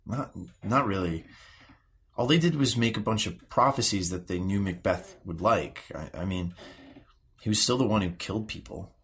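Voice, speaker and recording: Low-voiced, moody teenage boy. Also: a bit bright, slightly rough. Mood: hesitant, uneasy. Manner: halting, mumbled, hesitant teenage speech. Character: moody, guarded 16-year-old boy empath, easily frustrated. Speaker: actor playing a therapy patient. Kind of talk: naturalistic audio drama dialogue.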